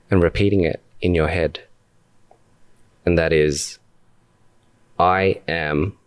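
Guy doing guided meditation, deep voice, calm n deliberate, lots of pauses, really dry close sound. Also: no echo. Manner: calm, deliberate, with long pauses between short phrases. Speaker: meditation guide and podcast host.

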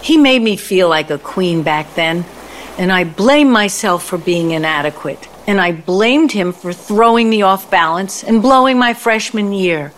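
Actress as bitter sardonic ex in a radio play, very animated, bit of noise behind. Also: female voice, medium pitch, measured pace, close to an everyday voice. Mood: bitter, defiant. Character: bitter, dramatic, vengeful ex-girlfriend, defiant and sardonic. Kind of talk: audio play acting.

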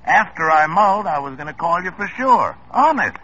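An actor playing a smooth-talking used car dealer with folksy sales patter. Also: male voice, high-pitched, big pitch swings, moderate pace, sharp tone, heavily acted. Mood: salesy. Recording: muffled old radio recording with faint hiss.

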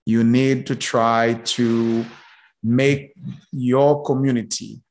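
Slow, halting man. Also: medium pitch, fairly even intonation, a little mellow. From Haiti. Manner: slow, halting, many repeated words and fillers. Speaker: guest, business professor. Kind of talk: casual interview talk.

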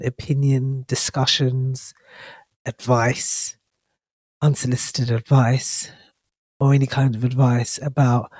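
A woman in her thirties hosts her own podcast with a stuffed-up, congested voice from a cold. She talks slowly and reflectively, pausing often with ums and restarting her sentences. The sound is dry and close, with no room echo. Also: a bass voice, darkish tone. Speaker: podcast host. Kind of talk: casual solo podcast talk.

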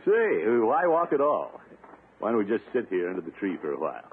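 An actor playing a pompous, excitable middle-aged uncle and former college athlete in a 1950s radio comedy, blustering and chuckling. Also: male voice, on the low side, a little fast. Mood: jovial, flirtatious. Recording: tinny, noisy 1950s radio recording.

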